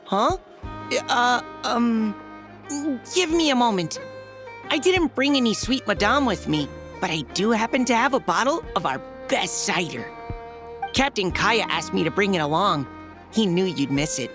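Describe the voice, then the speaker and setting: A woman's voice: mid-range voice, lively intonation, measured pace, music in the background, close to an everyday voice. A woman voicing an earnest, slightly flustered young knight courier in a video game, polite and hesitant. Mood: flustered, eager. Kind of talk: anime-style video game voice acting.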